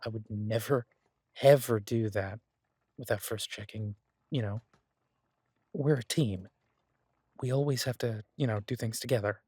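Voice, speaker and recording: Husky, casual boyfriend chef played by a man. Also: medium pitch, darkish tone, dry recording, clean studio sound. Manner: casual, halting, reassuring. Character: easygoing, earnest boyfriend, a chef in a fantasy world. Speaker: audio roleplay performer. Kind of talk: intimate one-sided audio roleplay, conversational.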